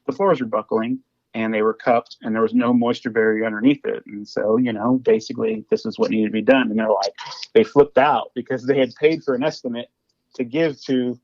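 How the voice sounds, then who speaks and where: A man with a Midwestern accent talks shop as a podcast guest. He rambles, repeats words and restarts his sentences often. His voice sits at a middle pitch and sounds dry and slightly dull, as if from a lo-fi recording. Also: fairly even intonation, a little fast, darkish tone, no room sound. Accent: Midwestern American. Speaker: guest, flooring business owner. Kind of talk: casual podcast conversation. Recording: dull lo-fi audio.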